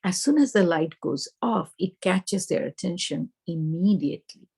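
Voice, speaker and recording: Husky, creaky Indian-accented woman teaching. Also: on the low side, animated, normal speed, darkish tone. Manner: explanatory, asks and answers own questions. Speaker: teacher trainer. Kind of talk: instructional presentation to teachers.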